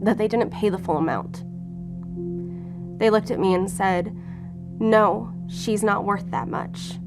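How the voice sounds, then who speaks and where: A woman's voice: medium pitch, takes it slowly, music in the background. An actress playing a wounded, guarded female FBI agent who talks with sardonic defiance in an audio drama. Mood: bitter. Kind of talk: audio drama acting, confessional.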